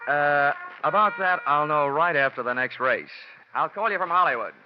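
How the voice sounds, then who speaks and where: A man's voice: on the high side, animated, moderate pace, lightly acted. Guy acting as sardonic 1940s radio detective, dry wisecracks, dull old recording w music. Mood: breezy, sardonic. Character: wry, wisecracking male freelance insurance investigator. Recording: muffled 1940s radio broadcast, music behind.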